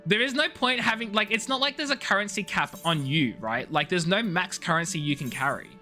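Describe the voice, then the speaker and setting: A man's voice: high-pitched, lively intonation, fairly quick, bright, forceful tone, faint music behind. A man chatting casually to viewers as a video game streamer, playful and teasing, rambling from one thought to the next.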